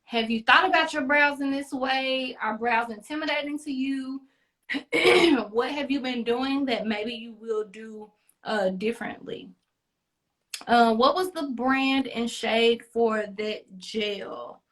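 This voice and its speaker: A woman's voice: a little high, slow, fairly bright tone. A woman hosting a casual beauty chat, talking in a playful, encouraging and chatty way. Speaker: beauty livestream host.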